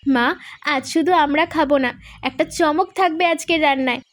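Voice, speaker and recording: A woman voicing a lively, playful young village daughter in a cartoon, eager and fond of secrets. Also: high voice, normal speed, natural voice. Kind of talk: cartoon voice acting.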